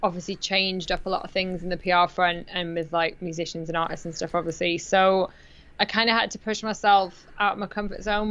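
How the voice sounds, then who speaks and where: A woman's voice: mid-range voice, fairly bright tone. A woman in her thirties talking in a friendly, casual way as an interview guest over a video call, with lots of fillers. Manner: friendly, laughs easily, many fillers like "like" and "obviously". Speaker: music PR guest. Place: home room. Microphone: headset, video call. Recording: dull lo-fi video call audio.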